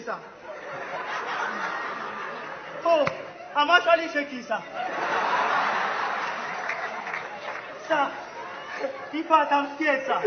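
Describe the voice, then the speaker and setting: A man playing a timid, weak volunteer warrior in a stage comedy, whining and deferring to his superior. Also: extremely high pitch. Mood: frightened. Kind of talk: comic stage play acting. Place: stage in a large hall with audience. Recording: echoey hall, muffled, loud audience noise.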